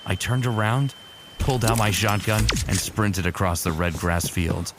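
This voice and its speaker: A man's voice: lowish voice, steady tone, normal speed, very noisy, natural voice. A man playing a smitten, teasing sci-fi warrior who narrates his own exploits in a fan audio drama, casual and wry. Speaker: narrator and lead character.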